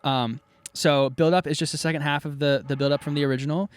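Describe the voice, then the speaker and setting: Male producer, casual swearing studio talk, a touch high, faint hiss behind. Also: fairly even intonation. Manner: loose, profane, quick asides. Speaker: music producer.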